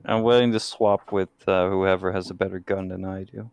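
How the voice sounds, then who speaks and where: A man's voice: deep voice, fairly even intonation, fairly quick, a little mellow, slight background noise. A man chatting casually as a player in a tabletop game. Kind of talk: casual chat.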